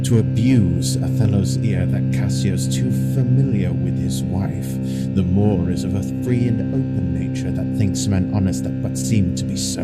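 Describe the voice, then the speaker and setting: A deep, deliberate villain acted by a man plots his treachery in a Shakespearean audio drama. He is brooding and calculating, thinking aloud while guitar music plays loudly behind him. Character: Iago, scheming male villain plotting treachery in soliloquy. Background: loud plucked guitar music.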